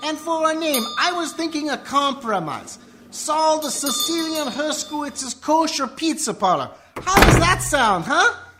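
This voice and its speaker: A woman's voice: high-pitched, sing-song, a little slow, background noise. A woman acting a chatty, needling restaurant partner of a mobster in a comedic radio play, earnest and nagging. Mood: eager, earnest.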